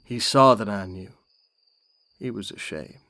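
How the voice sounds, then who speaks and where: A man playing a wistful rural man recalling his father, telling it in a slow, folksy, reflective monologue. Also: lowish voice, bright, forceful tone, dry recording. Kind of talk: dramatic storytelling monologue.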